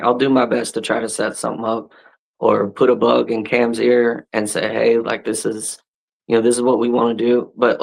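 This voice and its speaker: A man in his thirties talks shop in an easygoing, conversational way, often restarting his sentences and checking in with "right?" His pitch sits in an ordinary middle range, neither deep nor high. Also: creaky, rough recording. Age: 30s. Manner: laid-back, frequent restarts and "right?" tags. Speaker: podcast host and wrestler. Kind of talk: casual chat.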